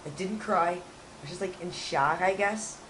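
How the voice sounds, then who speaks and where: Young male co-host, hoarse and raspy, rambling, faint noise behind. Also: sits high, unhurried, fairly bright tone. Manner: rambling, restarts often. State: hoarse from a cold. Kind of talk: casual chat.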